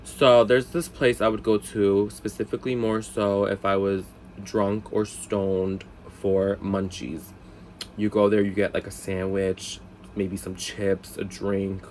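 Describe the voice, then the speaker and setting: A man's voice: fairly low pitch, little pitch movement, measured pace, a little mellow, a little hiss or hum. A man with a cold, congested and sniffly, chatting casually and rambling through a storytime vlog with self-mocking asides. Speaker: vlog host.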